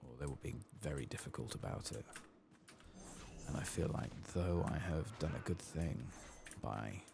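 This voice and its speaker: A soft-spoken man doing an ASMR game playthrough, hushed and sometimes whispering, musing in a reflective, hesitant way as he plays, close to the microphone. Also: very deep voice, lively intonation, normal speed, vocal fry, background noise. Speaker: ASMR gaming video host.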